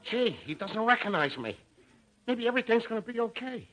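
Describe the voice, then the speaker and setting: A man's voice: extremely high pitch, sing-song, a little fast, bright, forceful tone, heavily acted. A man playing a nervous, stammering American department store clerk in a 1950s radio comedy. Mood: anxious, flustered. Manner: nervous, stammering, muttering to himself. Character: jittery, long-suffering male department store clerk. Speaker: comic character actor in a radio show. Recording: hissy, clicky 1950s radio recording.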